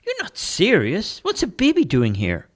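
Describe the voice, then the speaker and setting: Guy doing a puzzled shrink, high and quizzical, swoopy. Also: huge pitch swings, unhurried, exaggerated voice. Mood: incredulous. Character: bemused male psychiatrist. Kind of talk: amateur play read aloud.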